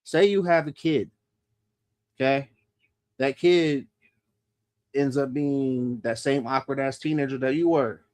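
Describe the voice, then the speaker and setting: A man's voice: medium pitch, steady tone, measured pace, long pauses, darkish tone, dry recording. A man in his thirties hosting a podcast, talking loosely and profanely and laughing often. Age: 30s. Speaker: podcast host. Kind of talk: casual podcast chat.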